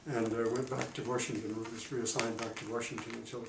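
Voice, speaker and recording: A man in his eighties, a retired diplomat, recalling his career in an oral history interview, pausing often with fillers. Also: mid-range voice, little pitch movement, creaky. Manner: halting, many pauses and fillers. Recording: roomy, distant mic, faint noise.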